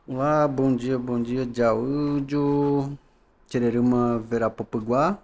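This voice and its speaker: A man from the São Paulo coast speaks as a podcast guest in a mid-range voice. He talks calmly and haltingly, often restarting a thought. He ends many phrases with a soft tag question. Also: medium pitch, slight background noise. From São Paulo coast, Brazil. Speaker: guest, knowledge keeper. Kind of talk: podcast interview.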